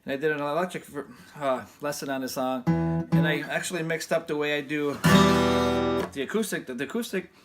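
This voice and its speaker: A man with a fairly high voice giving a casual guitar lesson in a loose, rambling way. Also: fairly quick. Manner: loose, rambling, restarts often. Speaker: guitar teacher. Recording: guitar playing loud behind him.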